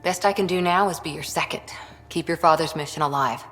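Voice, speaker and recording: A woman's voice: sits low, fairly quick, fairly bright tone, big-room sound. A woman playing a guarded, proud female soldier and second-in-command in a video game, speaking in a blunt, dry manner. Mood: resentful, resigned. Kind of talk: video game dialogue acting.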